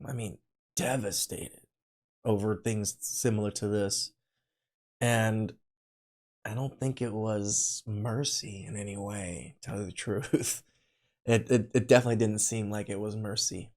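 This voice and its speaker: A man's voice: on the low side, fairly even intonation, measured pace, a little mellow. A man from Cambodia hosting a reaction podcast, commenting casually and earnestly, often repeating himself. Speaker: podcast host reacting to a video.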